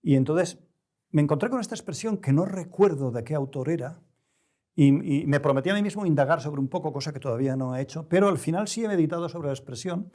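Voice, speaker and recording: Interview guest: older male, European Spanish accent, dark relaxed timbre, deliberate, erudite, searching for the exact word. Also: a little high. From Spain. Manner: deliberate, halting, with erudite careful word choice. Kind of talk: reflective interview conversation.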